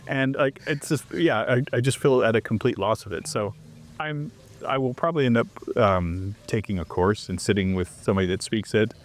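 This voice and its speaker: A man's voice: lowish voice, moderate pace. A man from Canada, chatting as an interview guest and acting out little exchanges as he tells them. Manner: animated storyteller who acts out quoted exchanges. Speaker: interview guest, foreigner living abroad. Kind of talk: casual interview chat.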